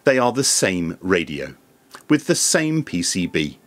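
A man presents a scripted explainer to camera in a measured, formal, methodical way. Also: deep voice, very animated, soft background music. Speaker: video presenter and radio reviewer. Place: small indoor home studio room.

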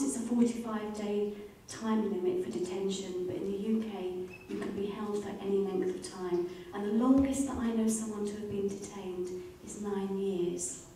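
A middle-aged woman giving a measured, earnest prepared talk as a charity speaker. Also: mid-range voice, mellow. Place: indoor library event space with audience. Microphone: handheld microphone. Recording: very echoey room, distant, faint noise.

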